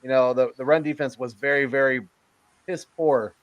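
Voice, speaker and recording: A man's voice: mid-range voice, steady tone, normal speed, no room sound, dull audio. A man talking casually as a sports fan, blunt and cursing about the team.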